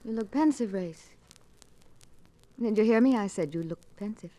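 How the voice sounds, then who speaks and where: An actress playing a pert, insistent socialite with a breezy, bossy manner in a 1950s radio detective drama. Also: female voice, mid-range voice, expressive, moderate pace, mellow, natural voice.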